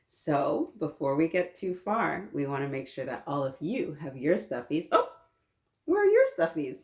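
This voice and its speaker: In a roomy, echoing space, a woman in her thirties leads story time for small children. Her voice is on the low side and swoops expressively as she reads and chats. She keeps encouraging the young listeners with questions. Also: sing-song, moderate pace. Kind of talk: read-aloud storytelling with children, chatting along. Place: small children's playroom, indoors. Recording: roomy echo, mic at a little distance.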